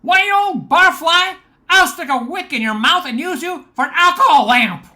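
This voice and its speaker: A woman's voice: very high-pitched, lively intonation, moderate pace, sharp tone, pitched above the performer's own voice, exaggerated voice. A woman voicing a cheeky wooden dummy boy who trades insults in a radio comedy sketch. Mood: taunting. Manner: impudent, taunting.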